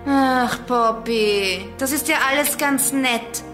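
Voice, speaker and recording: An actress playing a sharp-witted, grumpy girl detective in a German children's radio play, dry and bossy. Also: high voice, slow pace, over music. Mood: commanding. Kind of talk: German children's audio drama acting.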